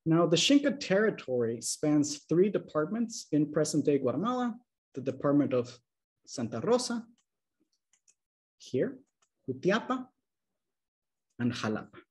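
Male lecturer, 30s, webcam talk, slightly higher pitch, calm explanatory pacing. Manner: measured, explanatory, checks in with "okay?". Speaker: linguistics lecturer. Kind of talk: academic slide presentation. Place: small room indoors. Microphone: video call webcam.

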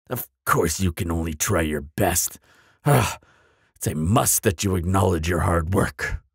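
Guy doing a grumpy bogeyman for audio roleplay, super husky low voice, pompous n easily flustered, secretly soft. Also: dry recording, clean studio sound, full character voice. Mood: gruffly encouraging. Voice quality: raspy, gravelly. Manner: pompous, flustered stammering, dramatic.

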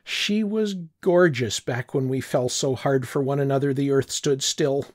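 A man's voice: mid-range voice, measured pace. An older man narrating a short story in the first person, reflective and wistful. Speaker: audiobook narrator.

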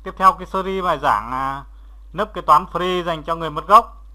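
Bright, high-pitched male software instructor. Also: moderate pace. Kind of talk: step-by-step screen tutorial narration.

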